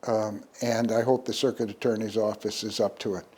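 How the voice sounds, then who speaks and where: A man's voice: on the low side, little pitch movement, brisk, a little mellow, husky. A man with an American accent, a criminology professor, gives emphatic expert answers in an interview.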